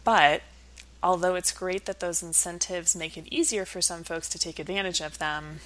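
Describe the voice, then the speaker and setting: A woman's voice: on the low side, fairly even intonation, moderate pace, fairly bright tone, rough recording. A woman speaking as an academic guest in an interview, explaining things conversationally in a thoughtful, earnest way.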